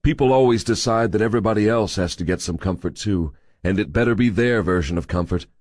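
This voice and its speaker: A man's voice: lowish voice, quick pace, a little mellow, no room sound, natural voice. A man voicing a cool, cynical male drifter in a dry, conversational manner.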